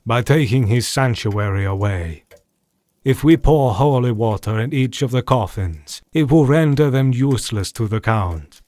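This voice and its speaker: A man as an old, learned vampire-hunting doctor with a mid-pitched voice. He speaks solemnly and formally in an audio drama, recorded dry and close. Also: animated, a little slow, darkish tone, no room sound, close to an everyday voice. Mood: grave.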